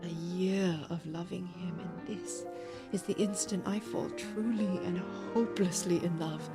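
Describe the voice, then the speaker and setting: An actress playing a heartbroken, anxious young woman in an audio drama, speaking intimately and deliberately, as if confessing. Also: fairly low pitch, steady tone, over loud music, lightly acted. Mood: wistful, confessional. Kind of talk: audio drama monologue and dialogue.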